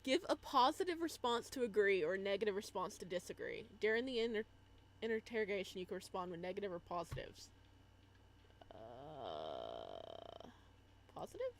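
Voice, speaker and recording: A woman's voice: medium pitch, very animated, moderate pace. A woman live-streaming a game in a casual style, reading on-screen text aloud and adding chatty asides.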